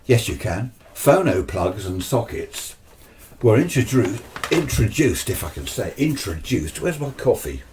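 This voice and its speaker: A man's voice: lowish voice, big pitch swings, a little slow, a little mellow, a little hiss or hum. A British man giving a casual, chatty explanation, often asking himself questions and answering them. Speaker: amateur radio video presenter. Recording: slightly distant microphone.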